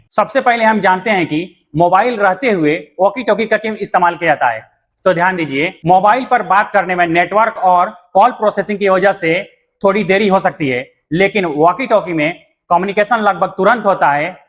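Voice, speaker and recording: A man's voice: sits high, fairly bright tone. A man explaining things step by step as an instructor, repeating points plainly. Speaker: instructor and channel host. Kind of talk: explanatory tutorial addressed to viewers.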